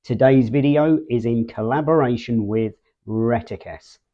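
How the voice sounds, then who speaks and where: A man hosting a casual product unboxing and walkthrough, speaking in short, measured phrases with pauses mid-sentence. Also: mid-range voice. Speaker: video host, product reviewer. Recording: muffled, phone-like narrow band sound.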